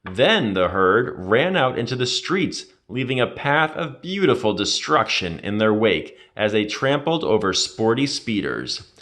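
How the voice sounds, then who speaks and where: A man reading a children's adventure story aloud in an expressive, dramatic storytelling manner. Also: fairly low pitch, a little slow. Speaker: read-aloud narrator.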